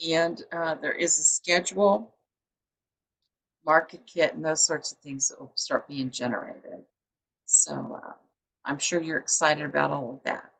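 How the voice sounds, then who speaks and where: Low-voiced, forceful woman host. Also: measured pace, gravelly, husky, rough recording. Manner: chatty, rambling, many fillers. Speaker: publisher hosting a book show. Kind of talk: casual interview chat. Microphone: video call.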